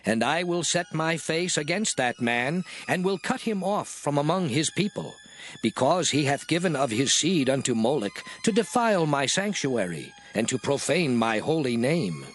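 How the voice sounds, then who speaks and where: An actor playing God as a solemn lawgiver in a dramatized scripture reading, speaking in a measured, declamatory manner. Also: male voice, on the high side, very animated, a little hiss or hum. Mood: stern, condemning.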